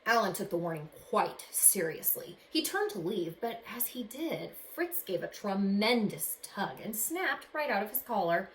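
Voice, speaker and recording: A woman's voice: mid-range voice, sing-song. A woman reading a children's storybook aloud with dramatic expression, as if to young listeners. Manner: dramatic, pausing to ask young listeners questions. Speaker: teacher reading a picture book aloud to children. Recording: roomy echo, faint background noise.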